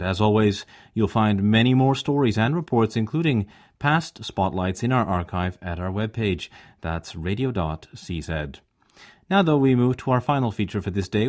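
A male radio presenter reading continuity links. His voice sits somewhat low, and he delivers the lines in a polished, smooth announcer style. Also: a little mellow.

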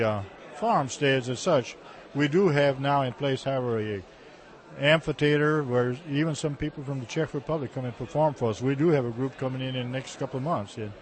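A man with a drawl answers questions in a halting, homespun way. He stops, restarts and repeats words as he goes. His pitch sits in a middle range for a man. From Texas, USA. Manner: halting, repeats words, plain folksy talk. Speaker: cultural center director. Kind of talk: interview answers.

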